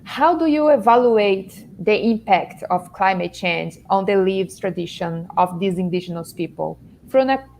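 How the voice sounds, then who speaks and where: A woman moderator poses a long, rambling question, restarting her phrasing as she goes. Her pitch is middling, and faint background noise sits under her video-call audio. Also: darkish tone. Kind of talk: interview question on a panel.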